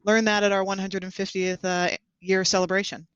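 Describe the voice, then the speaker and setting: A woman speaks as a podcast guest and explains her work in a measured way. Her voice is smooth and clear. The sound is dry and close. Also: mid-range voice, level tone, a bit bright, no room sound, highs cut off. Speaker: guest, meteorologist and underwriter. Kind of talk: podcast interview.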